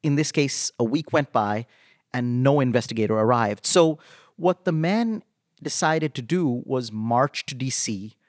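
Man, mid-pitched voice, interview guest, measured halting storytelling, pauses, close dry sound. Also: animated, no room sound. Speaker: interview guest, labor organizer.

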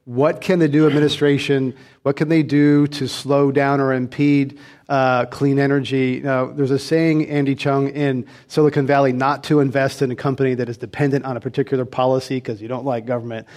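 A man's voice: medium pitch, level tone, a little mellow. Upbeat male panel moderator. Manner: upbeat, brisk introductions and questions. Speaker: host and moderator.

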